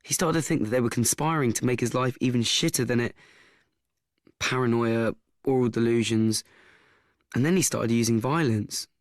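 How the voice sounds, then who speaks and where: An actor playing a young nephew who frankly recalls his troubled family in a halting, self-mocking, confiding radio play monologue. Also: mid-range voice, no echo, clean studio sound, close to an everyday voice. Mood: matter-of-fact. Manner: halting, repeats himself, conversational asides.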